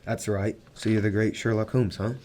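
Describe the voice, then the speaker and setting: Blunt low-voiced mining magnate played by a man. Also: flat delivery, moderate pace, warm tone, lightly acted. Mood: brusque, insistent. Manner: blunt, commanding. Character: blunt, demanding, hot-tempered male mining magnate. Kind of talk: amateur radio drama acting.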